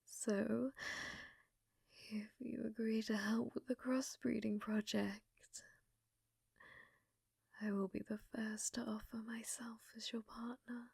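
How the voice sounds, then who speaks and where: Woman performing infatuated mad lady scientist, ASMR roleplay, hushed whispering, creaky edge, unhurried, clinical yet flirty. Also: fairly high pitch, level tone, no room sound, studio quality, heavily acted. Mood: earnest, coaxing. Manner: hushed, breathy, often whispering. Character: unhinged, infatuated female scientist studying a captive alien.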